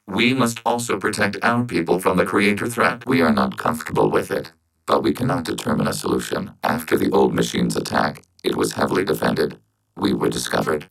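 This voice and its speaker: A man voicing a calm, logical video game robot who speaks for a machine collective, with measured, clinical delivery and synthetic processing. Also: extremely low pitch, vocal fry, exaggerated voice, rough recording. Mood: detached, earnest. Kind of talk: scripted science fiction video game dialogue.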